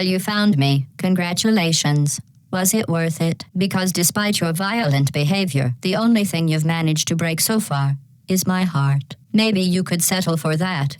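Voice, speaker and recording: A woman voicing a passive-aggressive female computer intelligence for a video game, deadpan and mock-polite, with synthetic robotic processing. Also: on the low side, smooth, no room sound, poor audio. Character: menacing passive-aggressive female AI running a test facility. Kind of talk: scripted video game character dialogue.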